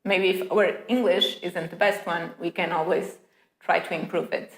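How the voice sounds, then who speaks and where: A Portuguese woman speaking English as a guest in a casual interview, thoughtful, pausing often with fillers and light laughs. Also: fairly low pitch, steady tone. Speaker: head of design, guest. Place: small office. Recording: roomy echo.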